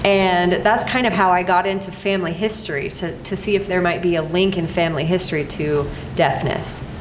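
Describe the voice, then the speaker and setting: A woman's voice: lowish voice, fairly even intonation, fairly quick. Middle-aged woman in an echoey room. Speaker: panelist. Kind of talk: live panel talk. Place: college event room. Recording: echoey room with background noise.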